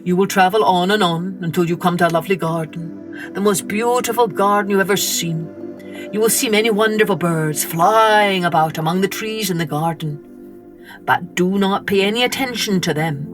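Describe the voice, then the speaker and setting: A woman voicing a kindly little old man in a children's storybook, giving cautioning, measured guidance. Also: medium pitch, music in the background, close to an everyday voice.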